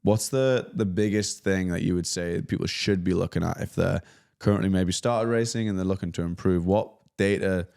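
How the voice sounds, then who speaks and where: A man with a very deep voice hosts a podcast interview. He asks his questions in a curious, relaxed, conversational way. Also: normal speed, creaky, smooth. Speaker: podcast host. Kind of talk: casual interview chat.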